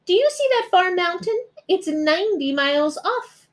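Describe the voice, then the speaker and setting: Woman putting on a high-pitched, boastful storybook rabbit. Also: big pitch swings, normal speed. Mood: bragging. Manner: emphatic bragging. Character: boastful, snappy male rabbit, proud of his ears.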